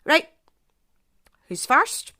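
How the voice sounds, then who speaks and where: A woman's voice: very slow, bright, forceful tone. A woman playing a tough, bossy apocalypse survivor in an audio drama, with a curt, commanding manner. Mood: wary.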